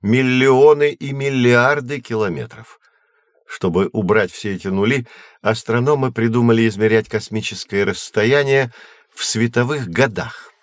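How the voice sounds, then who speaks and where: A man playing a patient, learned astronomer who guides children in an educational audio drama, measured and didactic. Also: medium pitch, dry recording, clean studio sound, natural voice. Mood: explaining. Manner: measured, didactic, clearly enunciated.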